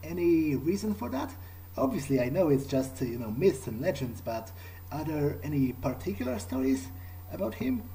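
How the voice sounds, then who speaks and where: A man improvising in character during a game playthrough, speaking in a measured, deliberate, hesitant manner. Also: fairly high pitch, sing-song, mellow, a little hiss or hum, rough recording. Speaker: gamer role-playing his character.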